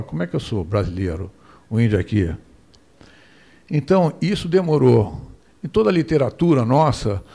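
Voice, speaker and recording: An older Brazilian man giving a measured history lecture from a lectern microphone in a lecture hall, posing rhetorical questions and asking for the next slide. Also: medium pitch, creaky, warm tone. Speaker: guest lecturer. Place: lecture hall with projector screen, indoors.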